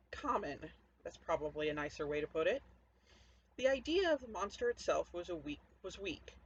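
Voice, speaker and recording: A woman author speaking informally as she presents her own fiction from home. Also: fairly low pitch. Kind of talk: casual introduction to a home story reading. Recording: dull lo-fi audio, noticeable background noise.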